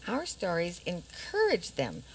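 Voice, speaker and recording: Woman playing a blunt, creaky-voiced fairy-tale princess. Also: fairly low pitch, wildly swooping pitch, unhurried, a little hiss or hum, full character voice, rough recording. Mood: determined. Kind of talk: community radio theater acting.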